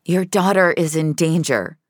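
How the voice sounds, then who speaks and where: A woman acts out a tough, sardonic heroine of an audio drama. She can turn halting and socially awkward or dryly defiant. Her pitch moves around in a lively way, in a dry, close recording. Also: no room sound. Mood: urgent, assertive.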